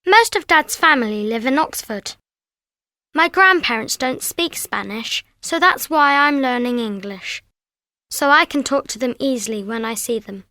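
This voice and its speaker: A woman voicing a lively young girl who lives in Spain in a scripted textbook listening. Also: sits high, a little slow, no echo, studio quality, exaggerated voice. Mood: chatty.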